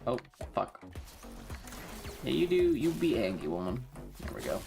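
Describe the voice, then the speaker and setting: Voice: medium pitch, wildly swooping pitch, a little slow, gravelly, music in the background. An American game streamer giving casual live commentary, swearing often, exclaiming and repeating phrases. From North Carolina, US.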